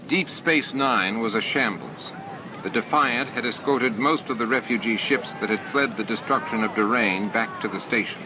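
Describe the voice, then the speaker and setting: A man's voice: mid-range voice, normal speed. A man reading a science fiction novel aloud as an audiobook narrator, with sound effects added behind him. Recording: dull lo-fi tape with clear background noise. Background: added sound effects and ambience.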